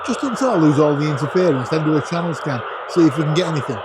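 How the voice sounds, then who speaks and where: A middle-aged man with a husky voice, chatting casually and excitably as he hosts a vlog. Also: fairly high pitch, very fast, heavy background noise. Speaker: vlog host. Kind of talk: casual chat to camera while testing a radio.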